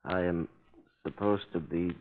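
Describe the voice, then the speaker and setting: A man's voice: deep voice, measured pace. A man acting a dazed, frightened captive under hypnosis in 1930s radio drama style. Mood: dazed, obedient. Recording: muffled old 1930s radio recording.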